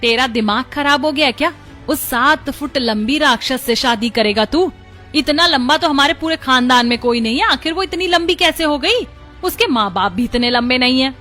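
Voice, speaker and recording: Casting: female actor as indignant mother of a grown son, scolding cartoon delivery, highish voice, music behind. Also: lively intonation, moderate pace, bright, forceful tone. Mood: angry. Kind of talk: Hindi family cartoon dubbing.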